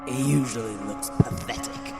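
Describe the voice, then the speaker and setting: A man's voice: mid-range voice, moderate pace, loud music behind the voice, lightly acted. A man playing a callous, taunting villain in an audio drama, flippant about cruelty. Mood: gloating. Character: callous, sneering male villain relishing cruelty.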